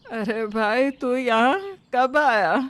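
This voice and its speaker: A woman's voice: on the high side, sing-song, extremely slow, dry recording, exaggerated voice. A woman voicing an ailing, self-pitying mother-in-law in a cartoon story, complaining like a martyr.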